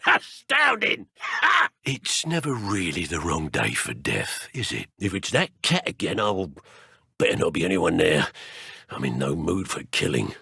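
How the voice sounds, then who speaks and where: A menacing British dark wizard poacher from a video game, taunting and sneering with theatrical flair. His pitch swings widely, with some gravel and a husky edge. The speech is colloquial and full of threat. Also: wildly swooping pitch, unhurried, no echo, studio quality, exaggerated voice. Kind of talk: video game character voice acting.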